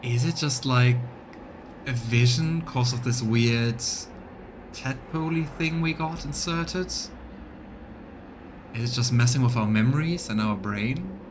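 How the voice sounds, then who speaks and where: A man doing live let's play commentary, reading game text aloud and thinking out loud for his viewers. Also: mid-range voice, slow, background noise. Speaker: live streamer voicing a game playthrough.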